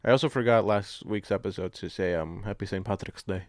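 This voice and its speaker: A man's voice: fairly low pitch, fairly quick, no echo. Foul-mouthed man co-hosting a casual podcast. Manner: profane, hesitant stop-start phrasing, chuckles often. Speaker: podcast co-host. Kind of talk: casual banter and comic ranting.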